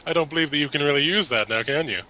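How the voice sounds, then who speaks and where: Bright-toned teasing American man. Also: on the high side, slight background noise. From United States. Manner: teasing, quick with pedantic quips. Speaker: podcast co-host. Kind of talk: casual chat.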